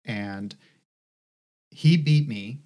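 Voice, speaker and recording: A woman's voice: a bass voice, extremely slow, warm tone. A middle-aged woman co-hosting a podcast, telling a rambling, casually profane story with many fillers. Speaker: podcast co-host.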